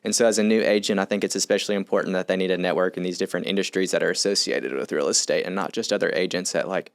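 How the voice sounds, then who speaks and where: A man's voice: sits low, steady tone, racing, gravelly, dry recording, clean studio sound. A man who works as a real estate agent, a podcast guest, explaining in an earnest, step-by-step way. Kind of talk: casual podcast conversation.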